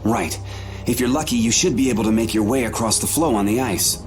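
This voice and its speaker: A man's voice: mid-range voice, a little fast, music in the background, close to an everyday voice. A man playing a helpful, cautious family man in a video game, with a plain-spoken, folksy manner.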